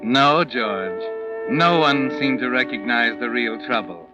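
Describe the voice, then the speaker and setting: An actor playing an all-knowing, taunting mystery narrator, dramatic and insinuating, in 1940s radio style. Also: male voice, medium pitch, slow pace, lightly acted. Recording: dull 1940s radio recording. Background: music.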